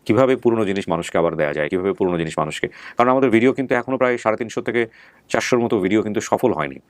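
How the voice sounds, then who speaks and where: A man's voice: on the low side, rapid-fire, no room sound. A man hosting an online show, talking earnestly and reflectively to his viewers with fillers and restarts. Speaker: host. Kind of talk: casual talk to viewers.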